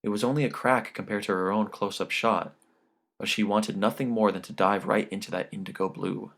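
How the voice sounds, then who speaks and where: A man's voice: lowish voice, steady tone, brisk, a bit bright. A man reading a short story as an audiobook narrator, in scripted literary narration.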